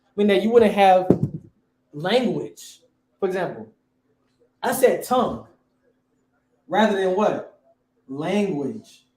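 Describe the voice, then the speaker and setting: A man's voice: sits high, expressive, takes it slowly, long pauses, a little mellow, reverberant, slight background noise, poor audio. A man teaching a class, quizzing his students and repeating key words.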